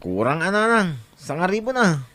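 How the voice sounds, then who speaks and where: An actor as a wheedling teenage son, swooping pitch. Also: very animated, normal speed, a bit bright, dry recording. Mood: boastful, wheedling. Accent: Ilocano. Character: teenage son, wheedling, boastful student begging money from parents. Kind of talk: Ilocano radio-style drama acting.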